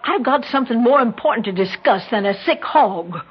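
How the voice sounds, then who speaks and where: A woman's voice: fairly high pitch, expressive, brisk, breathy edge. Chatty, singsong elderly American country mother. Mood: bossy, impatient. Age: older. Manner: folksy, theatrical, fussy. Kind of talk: 1960s radio drama acting. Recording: dull, noisy 1960s radio recording.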